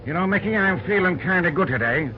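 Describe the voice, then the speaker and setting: An eager folksy railroad hand. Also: male voice, high-pitched, animated, a crowd in the background. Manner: folksy slang, hurried and eager. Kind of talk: 1940s radio acting. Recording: dull old radio recording with faint hiss.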